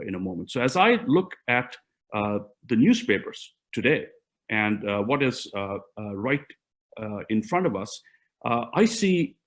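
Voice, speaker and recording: A man moderating an academic panel, speaking formally and deliberately with frequent hesitant filled pauses. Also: medium pitch.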